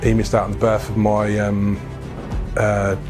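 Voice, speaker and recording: A man's voice: on the low side, monotone, measured pace, over music. A middle-aged English businessman telling his life story in a frank, casual interview, with plenty of fillers and restarts. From England. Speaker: business founder, guest.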